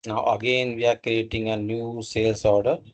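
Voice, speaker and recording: A man narrating a step-by-step software tutorial in a halting, instructional way, with pauses between short phrases. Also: fairly low pitch, level tone.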